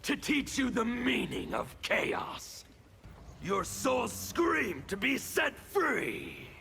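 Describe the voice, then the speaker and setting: An actor playing a fanatical, bitter anarchist warlord in a video game, with a gravelly voice and a theatrical, sneering delivery. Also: male voice, very high-pitched, wildly swooping pitch, very slow, bright, forceful tone, a little hiss or hum, exaggerated voice. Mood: menacing. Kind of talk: video game villain dialogue.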